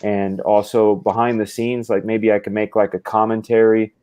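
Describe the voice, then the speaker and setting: A man's voice: lowish voice, flat delivery, normal speed, mellow, smooth. A man talking as a guest artist in a casual video-call chat, explaining things at length with many restarts.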